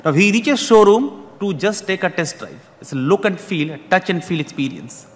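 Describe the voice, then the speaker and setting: Man, 30s, conference keynote, formal, restarts phrases, slightly high voice, long hall reverb. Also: lively intonation, moderate pace. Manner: formal, repeats words when restarting phrases. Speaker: keynote speaker. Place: large conference hall, at a podium. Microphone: podium microphone.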